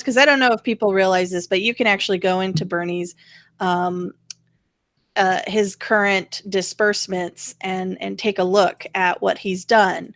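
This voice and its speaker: A woman hosts a casual political talk in a medium-pitched voice. She is blunt and sarcastic, swearing lightly as she goes. The sound is dry, with very little room around her. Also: fairly bright tone, dry recording. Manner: blunt, sarcastic, mildly profane. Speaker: talk show host.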